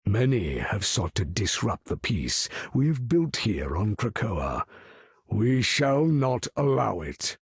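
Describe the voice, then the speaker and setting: Aging mutant leader, husky, slow, grand, commanding, theatrical, video game villain-hero. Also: male voice, on the low side, big pitch swings, no room sound, studio quality, full character voice. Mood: imperious. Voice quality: gravelly, husky. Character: proud aging mutant master of magnetism, imperious male leader. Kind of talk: video game character voice acting.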